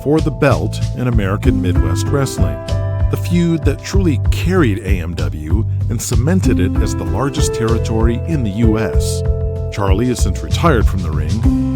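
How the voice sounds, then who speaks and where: A man reading scripted narration for an audio drama in a dramatic storytelling style. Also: on the low side.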